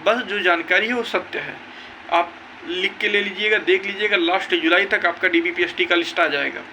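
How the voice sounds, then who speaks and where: A young man speaks in a high, piercingly bright voice. He addresses viewers informally and insistently, circling back to stress his points. There is faint noise behind him. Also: moderate pace, rough recording. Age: young adult. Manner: emphatic, insistent, repetitive. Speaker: exam-news video creator. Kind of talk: informal update to viewers, recounting a meeting.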